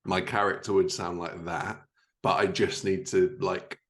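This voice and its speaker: A man hosts an interview in a casual, conversational style. His voice is very low, with plenty of gravelly fry in it. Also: level tone, unhurried. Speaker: podcast host.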